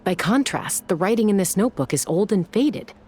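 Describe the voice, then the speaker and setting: An actress playing a cool, tough, perceptive young woman investigator in a video game, measured and analytical. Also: medium pitch, lively intonation, a little mellow, slight background noise, natural voice. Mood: analytical, serious.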